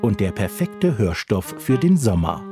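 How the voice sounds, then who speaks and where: A man's voice: on the low side, very animated, unhurried, music in the background. A man working as a radio critic, reading a scripted review.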